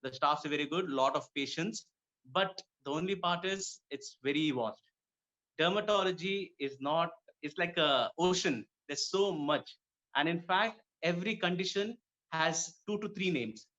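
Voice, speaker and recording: Higher-pitched man, dull lo-fi recording. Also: a little slow, pushed and bright. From Kuwait. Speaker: interview guest, dermatologist. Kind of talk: conversational interview answers. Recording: dull lo-fi audio.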